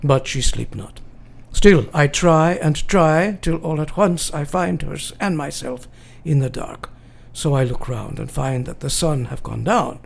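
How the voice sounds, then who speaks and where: Gravelly, high-pitched old professor. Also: male voice. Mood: solemn, fearful. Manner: foreign-sounding broken grammar, solemn, deliberate. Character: elderly male foreign professor and vampire hunter, grave, devoted. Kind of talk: radio drama acting, dramatic journal narration.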